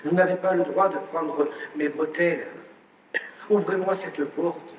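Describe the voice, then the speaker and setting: A man reciting a poem in a slow, theatrical, declaiming way, from an archive recording. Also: fairly even intonation, roomy sound, long reverb tail, recorded from a distance, highs cut off, slight background noise. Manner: slow, declaiming, rising in pitch on key lines.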